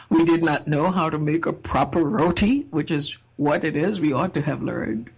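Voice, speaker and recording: A woman from Trinidad giving a reflective personal talk in a measured, thoughtful way, with wry humor. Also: deep voice, breathy edge. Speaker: speaker sharing a personal essay.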